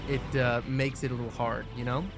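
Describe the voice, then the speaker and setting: A man's voice: medium pitch, little pitch movement, a little fast, creaky. A man co-hosting a game livestream in casual chat, rambling and restarting his sentences. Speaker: livestream co-host. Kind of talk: casual chat over gameplay. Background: game music.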